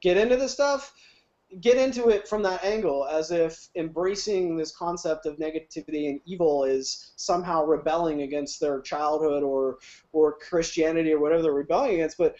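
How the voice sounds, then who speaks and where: Podcast guest: man from Ohio, high voice, rambling, self-interrupting delivery on muffled audio. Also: moderate pace, a little mellow. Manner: rambling, restarts often, laughs easily. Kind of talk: casual chat.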